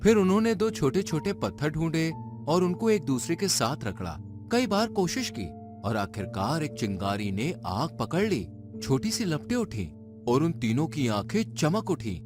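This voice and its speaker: A man's voice: on the high side, sing-song, moderate pace. A man narrating a scripted dramatic story in a suspenseful storytelling manner. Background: background music.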